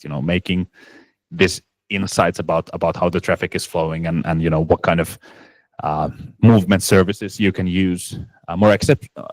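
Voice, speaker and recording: Deep-voiced man answering, halting. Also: darkish tone, no room sound. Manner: halting, many restarts and fillers. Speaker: startup presenter. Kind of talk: conference Q&A answer.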